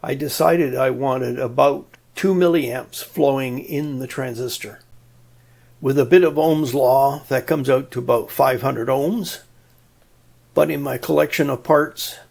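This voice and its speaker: A man's voice: mid-range voice, steady tone, measured pace, gravelly, darkish tone. A man giving an explanatory technical narration in a methodical, plain-spoken way with a touch of dry humour. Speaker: presenter of an electronics tutorial.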